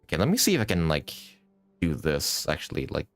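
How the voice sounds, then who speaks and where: A man livestreaming a game with casual commentary, halting and often interrupting himself. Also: very deep voice, huge pitch swings, soft background music. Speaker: game streamer.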